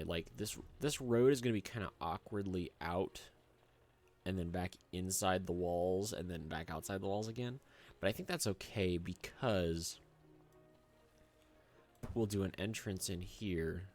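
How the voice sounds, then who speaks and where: A young man with a low, rough voice, hoarse from a cold. He chats loosely through his gameplay commentary, full of ums and uhs, into a desk mic. Faint music plays under his talk. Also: darkish tone. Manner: rambling, filler-heavy and chatty. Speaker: gaming channel host.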